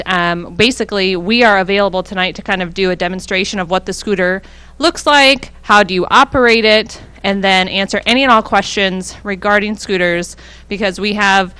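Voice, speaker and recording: A woman speaks into a handheld mic in a council chamber, explaining a local program in a casual, friendly way. Her pitch sits in the middle, and she pauses with ums as she goes. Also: pushed and bright, smooth, dry recording. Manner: informal, friendly explaining with fillers. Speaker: hospital community health coordinator. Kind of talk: public presentation at a council meeting. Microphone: handheld microphone handed to her.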